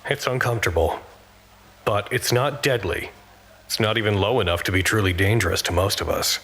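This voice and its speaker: Low-voiced, weary, anxious man. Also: fairly quick, fairly bright tone. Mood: weary, uneasy. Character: anxious, weary older male researcher narrating a radio log. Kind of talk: audio drama acting, intimate log narration.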